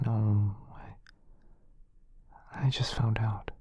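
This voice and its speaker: Breathy, whispering gentle wizard, acted by a man. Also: fairly low pitch, level tone, no room sound, exaggerated voice. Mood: anguished, scared. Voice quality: soft, breathy, often whispering. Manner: tender, halting, apologetic. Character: gentle male wizard, frightened and protective of his companion. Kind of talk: boyfriend ASMR roleplay.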